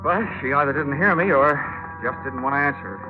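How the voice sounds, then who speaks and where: A man's voice: mid-range voice, a little fast, close to an everyday voice. Male actor as hardboiled American private investigator, wry slangy delivery, 1940s radio drama, muffled old recording, prominent music. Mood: tense wry narration. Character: wisecracking hardboiled male insurance investigator narrating his case. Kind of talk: 1940s radio detective acting and narration. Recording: dull vintage radio recording with loud music.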